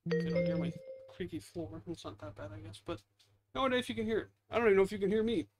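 A man's voice: high voice, fast talker, music in the background. A man giving casual, rambling, self-deprecating gameplay commentary as the host, muttering into a headset mic at home. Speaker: gaming video host.

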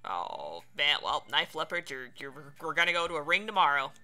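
A game streamer giving casual, playful let's play commentary with lively reactions. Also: mid-range voice, normal speed. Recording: game music behind.

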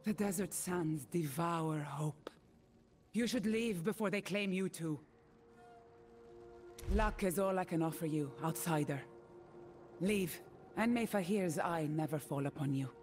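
Woman playing a low-voiced, cold desert chieftain. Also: measured pace, pauses often, darkish tone, faint music behind, close to an everyday voice. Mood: grim, dismissive. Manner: stern, curt, imperious. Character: cold, proud, commanding female desert mercenary leader. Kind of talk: video game character dialogue.

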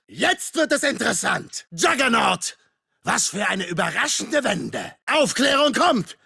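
An actor playing a gleefully menacing masked killer in a military video game, giving forceful callouts in a strained, gravelly voice. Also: male voice, high-pitched, no room sound, studio quality, full character voice. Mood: urgent, commanding. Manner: forceful barked calls with taunts.

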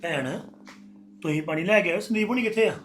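A man's voice: high voice, fairly quick, fairly bright tone, a little hiss or hum. A man playing a husband who calls out and questions his sister in a family drama. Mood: questioning. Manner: calling out, questioning. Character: husband, puzzled and pushing back. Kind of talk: domestic drama acting.

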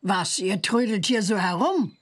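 A woman's voice: medium pitch, animated, measured pace. A woman playing a stern, impatient old witch in a cartoon game, brusque and scolding. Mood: suspicious.

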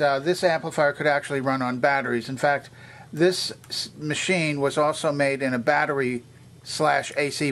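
Older male demonstrator, slightly high-pitched for a man, methodical how-to delivery. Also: fairly bright tone. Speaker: vintage electronics repairer and demonstrator. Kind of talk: explanatory hands-on product tour.

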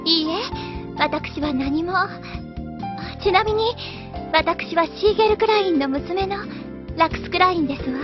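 A very high-voiced, serene young noble lady, earnest and formally polite. Her genteel anime drama speech sits over prominent music in slightly dull audio. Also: lively intonation, unhurried.

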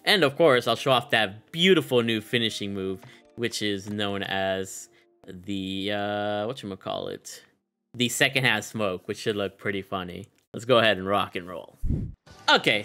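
A young man gives casual commentary as a gaming video host. His delivery is very lively and slangy, in a bright, punchy voice a little lower than most. Faint music plays behind him. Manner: hyped, slangy. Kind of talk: casual commentary on a game showcase.

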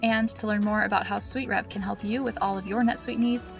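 A woman's voice: medium pitch, fairly even intonation, fairly quick, vocal fry, faint music behind. A woman reading a scripted explainer as a tutorial narrator, instructional and precisely enunciated, in a close voice-over recording.

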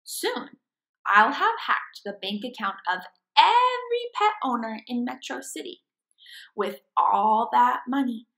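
A woman's voice: a little high, bright, forceful tone, exaggerated voice, poor audio. A woman voicing a small, scheming evil genius hamster from a comic book, in grandiose villainous monologue. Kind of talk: dramatic comic-book read-aloud.

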